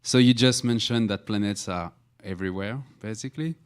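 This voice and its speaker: A middle-aged man moderating a science panel, framing long, measured questions. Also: lowish voice, clean tone.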